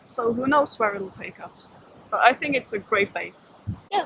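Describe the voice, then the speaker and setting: Girl early 20s, lively vlog chat outdoors, higher voice, slight background hiss. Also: rough recording. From Czech Republic. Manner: chatty and lively, laughs easily. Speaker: vlogger. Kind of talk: casual chat. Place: outdoors with a city behind.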